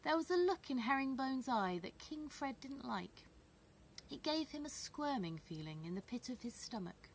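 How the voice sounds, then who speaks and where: A woman reading a children's story aloud expressively, with measured, dramatic phrasing and emphasis. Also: high-pitched, sing-song, slight background noise. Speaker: storybook reader.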